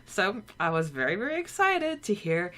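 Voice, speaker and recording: A woman co-hosting a casual podcast, chatting and recapping a story with a relaxed, talky manner. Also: mid-range voice, normal speed, very noisy. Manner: chatty, recaps with many "like" fillers. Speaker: podcast co-host. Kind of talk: casual chat.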